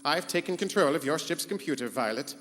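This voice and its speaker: Casting: male actor as rogue spaceship computer, haughty and scheming, higher-set theatrical delivery with swooping intonation, live hall. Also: animated, a little fast, a bit bright. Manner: pompous, theatrical, formal wording. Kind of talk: live comedic sci-fi radio play in 1940s serial style. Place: convention hall stage before live audience. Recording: echoey live hall, humming background noise.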